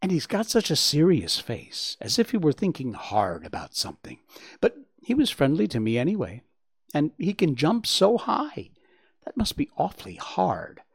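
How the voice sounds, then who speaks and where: A man acts out a young male fawn from a storybook, curious and eager. The voice is lifted high and swings widely. He is polite and earnest, full of wide-eyed excitement. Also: moderate pace, vocal fry, husky, no room sound, studio quality, full character voice. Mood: excited.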